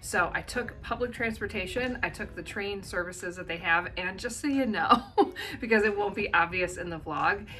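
A woman's voice: medium pitch, moderate pace, bright, forceful tone, faint music behind. An American woman in her forties vlogging in a casual, chatty way, laughing often and dropping ums and uhs. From United States. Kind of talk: casual vlog narration. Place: small bright room indoors, then walking outdoors in the city.

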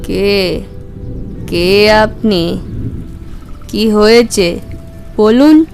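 A woman voicing an anxious widowed village mother in a cartoon, plaintive and halting. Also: medium pitch, darkish tone, faint music behind. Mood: wary, worried. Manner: plaintive, halting, drawn-out calls. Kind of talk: cartoon voice acting.

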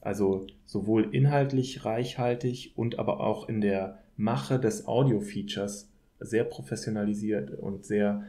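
A man's voice: on the low side, unhurried, mellow. A man answering interview questions conversationally as a podcast guest, in thoughtful, hesitant, academic phrasing. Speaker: podcast guest, political scientist.

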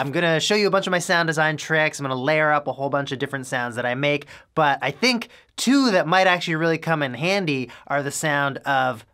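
A man's voice: a little high, sharp tone, clean tone. A young man with a head cold, chatting in an upbeat way as he explains his sound design in a home music studio. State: congested with a cold. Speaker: music producer and YouTuber. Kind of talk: casual tutorial vlog.

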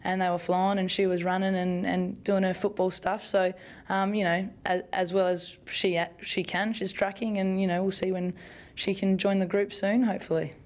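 A woman's voice: mid-range voice, level tone, normal speed. A young Australian woman at a club press conference, answering questions in a chatty way with many fillers and restarts, speaking into a bunch of press microphones. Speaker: football player at a press conference. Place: club media room in front of a sponsor backdrop. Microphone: bunch of press microphones on the table.

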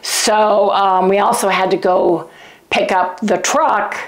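A sick older woman chats folksily to her viewers from her home kitchen, her voice hoarse and gravelly with frequent throat clearing. She talks in a relaxed, homey way, recorded close on a lapel mic. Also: mid-range voice, takes it slowly, poor audio. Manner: chatty and folksy, addresses viewers as "you guys". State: sick, losing her voice, coughing. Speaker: keto cooking channel host. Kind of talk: casual vlog chat to viewers.

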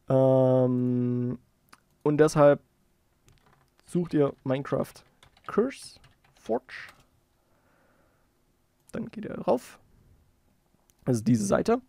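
A man giving a casual software tutorial, informally thinking aloud as he goes. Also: mid-range voice, dry recording, clean studio sound. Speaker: tutorial host.

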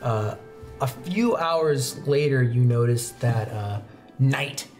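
Young man narrating haltingly over music. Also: medium pitch, darkish tone. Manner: halting, conversational storytelling with pauses mid-sentence. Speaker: game master. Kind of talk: improvised narration of a tabletop roleplaying game. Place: indoor studio set at a game table. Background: background music.

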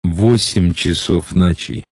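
A low-pitched reader sounds out short phrases at a very slow, deliberate pace. Each word is enunciated with care for beginners. The recording is dry and close, with no room sound. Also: a little mellow, dry recording. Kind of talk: slow scripted phrase reading.

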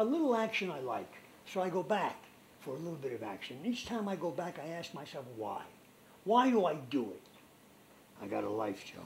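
A man's voice: fairly high pitch, gravelly, reverberant, a little hiss or hum. An actor playing a tired older male mobster who talks in a rambling, defensive way. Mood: weary.

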